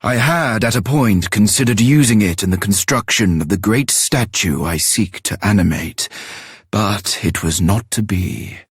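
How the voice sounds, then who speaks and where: Fantasy game role: courtly, musing male artisan, archaic diction, husky and unhurried. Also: on the low side, no room sound, studio quality. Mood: solemn, musing. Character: solemn archaic-spoken male sculptor guarding an old sword. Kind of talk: formal archaic English, theatrical game voice acting.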